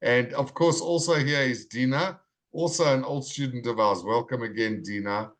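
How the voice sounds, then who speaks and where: A man teaching a live online English class over a video call, greeting students and repeating their names slowly and clearly. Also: mid-range voice, a bit bright.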